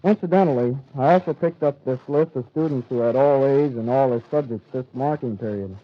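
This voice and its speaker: A teenage American student reporter reading scripted news stiffly on an old lo-fi 1940s radio broadcast. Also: male voice, medium pitch, brisk. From United States. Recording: dull lo-fi 1940s radio recording.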